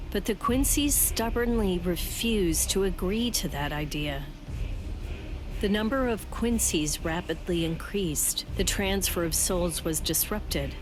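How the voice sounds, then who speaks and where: An actress playing a calm, grave woman recounting an old conflict in a measured way for an anime game dub. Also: fairly low pitch, lightly acted. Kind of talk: English anime game dub, expository storytelling. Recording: loud background music under the voice.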